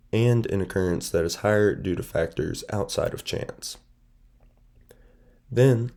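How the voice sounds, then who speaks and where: A young man with a stuffy, nasal voice from a sinus infection, reading a formal class presentation in a measured, steady way. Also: low-pitched, creaky. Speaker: student presenter.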